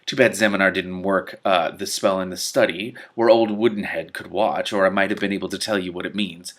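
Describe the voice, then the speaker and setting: A man's voice: sits low, fairly even intonation, brisk. A man voicing a vain male storybook cat, breezy, digressive and self-satisfied. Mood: smug. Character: smug, vain male talking cat telling tales.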